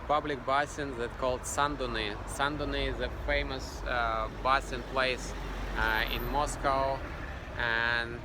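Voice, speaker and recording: A man's voice: medium pitch, level tone, measured pace. A man hosts a walking tour outdoors, speaking hesitantly with many fillers and restarts. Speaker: vlog host. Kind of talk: casual walking tour narration. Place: outdoors on a city street. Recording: loud street traffic noise.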